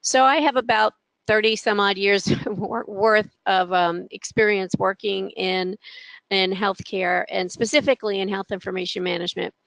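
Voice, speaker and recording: A middle-aged woman speaks with a gravelly, creaky edge. She presents as an academic director in a webinar, hesitating with frequent ums. The sound is close and dry. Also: medium pitch, steady tone, moderate pace, a bit bright, dry recording, poor audio. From New York. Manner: hesitant, many ums and restarts.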